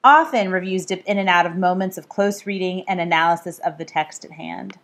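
A woman author reading aloud from her nonfiction book, explaining in measured phrases. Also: lowish voice, a bit bright. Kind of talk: scripted read-aloud of nonfiction.

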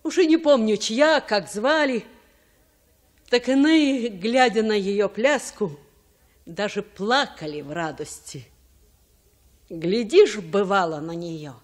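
Unhurried old village grandmother. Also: medium pitch, expressive. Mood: fond reminiscing. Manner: folksy, unhurried storytelling. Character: old village grandmother, lively, fond of song and dance. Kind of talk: radio drama acting.

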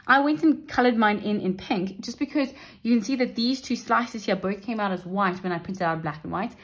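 A woman tutor talks through exam questions step by step at her desk. She sounds chatty and reassuring, often checking in with her students. Her voice sits in a middle pitch. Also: normal speed. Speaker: maths teacher. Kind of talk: exam paper walkthrough lesson. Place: indoors at a wooden desk.

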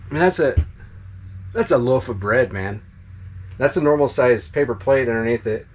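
A man in his forties talking casually to a handheld camera on a farm, relaxed and chatty. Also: medium pitch, normal speed. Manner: relaxed, chatty, self-correcting. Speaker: travel vlogger. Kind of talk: casual vlog talk to camera. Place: outdoors on a farm and inside a trailer. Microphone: handheld action camera at arm's length.

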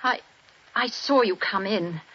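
An actress playing a frightened young wife in a 1940s radio drama, pleading and breathless. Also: female voice, medium pitch, unhurried, a bit bright, breathy edge. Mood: anxious. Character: frightened young wife telling detectives what she saw. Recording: dull 1940s radio broadcast.

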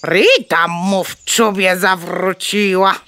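A woman's voice: lowish voice, slow, bright, forceful tone, heavily acted. A woman playing a bold, clever, bossy mother hen in a children's audio play, clucking between theatrical phrases. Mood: dry, resigned.

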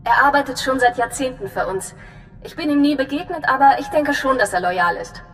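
An actress playing a composed, businesslike intelligence officer giving a precise, measured briefing in a video game. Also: female voice, expressive, fairly bright tone. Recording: long reverb, faint rumbling noise.

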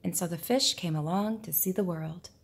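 A woman reading a children's picture book aloud in a deliberate, expressive storytelling manner. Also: lowish voice, gravelly, slightly rough. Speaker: preschool teacher reading aloud.

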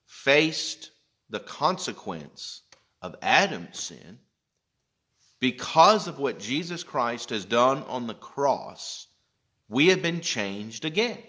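A man in his thirties, a pastor giving a gentle children's Bible lesson in a church, speaking slowly and checking in with questions, recorded on a close-held phone. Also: mid-range voice. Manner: slow, gentle, explaining step by step with checking questions. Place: church sanctuary, indoors. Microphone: phone camera held close.

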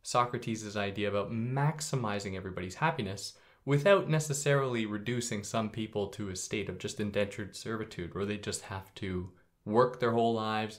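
A young adult man hosting a podcast, explaining philosophy in a relaxed, conversational way with a soothing voice and sudden upward jumps in pitch. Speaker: podcast host. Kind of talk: casual explainer monologue.

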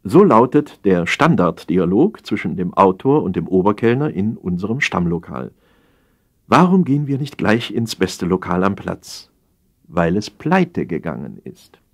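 A man narrating a humorous satire from a script as an audiobook reader, wry and expressive. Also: deep voice, a little slow.

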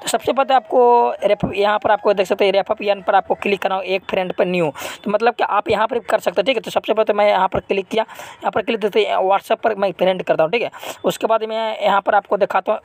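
A man's voice: high voice, steady tone, fast talker. A man hosting an unscripted app tutorial, repeating himself and often adding check-in tags. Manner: repetitive, with frequent check-in tags. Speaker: app tutorial video host. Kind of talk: unscripted screen-recording tutorial.

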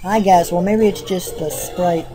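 A woman's voice: fairly low pitch, fairly even intonation, a little slow. A woman hosting a let's play, chatting casually and reading game text aloud in a playful way, with game music behind her. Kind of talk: casual gameplay commentary.

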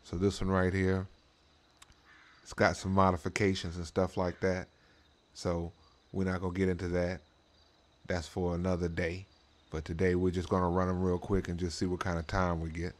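A man's voice: sits low, completely flat delivery, moderate pace. A man giving laid-back, slangy running commentary on a game, talking mostly to himself. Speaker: streamer playing a drag racing game. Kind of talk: casual running gameplay commentary. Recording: loud car engine noise. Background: game car and engine sounds.

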